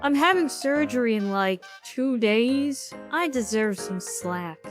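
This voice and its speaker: Lady doing a sleepy grumpy streamer girl, slow n dry but really up and down, comedy drama. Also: fairly high pitch, animated, soft background music. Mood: dry, petulant. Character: grumpy, sleepy, dry-humored young woman VTuber. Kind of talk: comedic dialogue acting.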